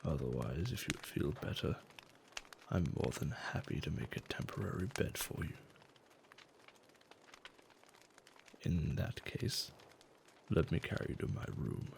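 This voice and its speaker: A man acting a gentle, caring giant in a soft-spoken, soothing and affectionate ASMR roleplay, with slightly formal phrasing. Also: expressive, moderate pace, a little hiss or hum, full character voice. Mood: reassuring, curious. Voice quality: low, soft, gravelly. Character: gentle male giant, tender, curious and caring toward humans.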